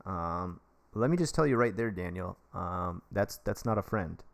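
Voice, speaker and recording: An American man with a fairly low voice hosts a live advice stream. He rambles and cracks puns, talking to his viewers like buddies in a loose, casual way. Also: animated, measured pace, gravelly. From United States. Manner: rambling, jokey, chummy, addresses viewers directly. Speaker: livestream advice host; English teacher.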